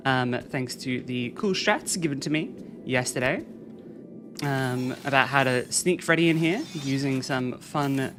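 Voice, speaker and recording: A game streamer talking casually through a live stream, chatting with viewers and reading chat aloud in a soft, soothing way. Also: medium pitch, noisy background. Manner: chatty, reads and answers chat.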